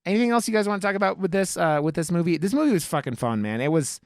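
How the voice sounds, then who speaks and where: A man's voice: high voice, big pitch swings, racing, no echo, studio quality. A man hosting a casual podcast, chatting about films in a gushing, enthusiastic way with plenty of swearing. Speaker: podcast host. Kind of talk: casual, profane film review chat.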